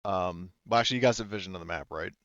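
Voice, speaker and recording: Smooth low-voiced man running a tabletop game. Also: racing, fairly bright tone, no room sound, dull audio. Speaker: game master. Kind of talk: tabletop roleplaying game narration and rules talk.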